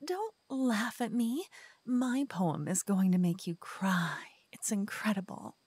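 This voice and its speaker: A woman voicing a cocky, playful lover who whispers and teases softly in an ASMR roleplay. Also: medium pitch, animated, slow, slightly rough, dry recording. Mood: playful teasing. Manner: playful, teasing, soft whispering. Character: cocky playful young woman, a devoted lover waking her partner. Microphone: binaural mic.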